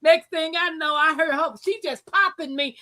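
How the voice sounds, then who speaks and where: A woman's voice: high-pitched, normal speed, a crowd in the background. A woman, chatting casually and telling stories as a co-host on a group live stream. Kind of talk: casual group chat, storytelling.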